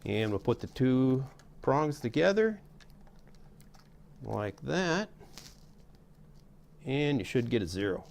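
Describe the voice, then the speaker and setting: A man's voice: mid-range voice, big pitch swings, takes it slowly, gravelly, pauses often, a little mellow, a little hiss or hum. A middle-aged man giving a casual, hands-on tutorial in a folksy, step-by-step way. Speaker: how-to host demonstrating a repair.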